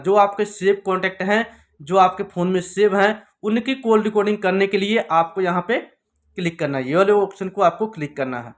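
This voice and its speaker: A man narrating a step-by-step phone tutorial in a repetitive, instructional way. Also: high voice. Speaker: tech tutorial presenter.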